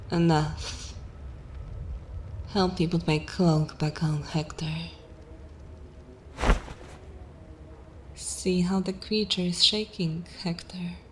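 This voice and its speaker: A woman playing a seductive, cruel and jaded vampire mistress in a dark fantasy ASMR roleplay, speaking breathily in slow, commanding, theatrical phrases. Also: mid-range voice, slight background noise, lightly acted. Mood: mocking. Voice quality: breathy whisper.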